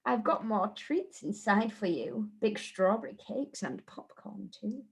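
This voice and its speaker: A woman's voice: medium pitch, animated, unhurried, gravelly. A woman voicing a sly, grinning witch from a children's storybook, coaxing and gloating.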